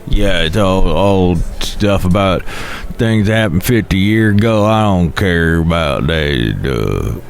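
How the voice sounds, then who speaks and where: A man's voice: low voice, animated, slow, gravelly, slight background noise, pitched below the performer's own voice, full character voice. A man voicing a grumpy, blunt male fiddle player with a grumbling, indifferent manner.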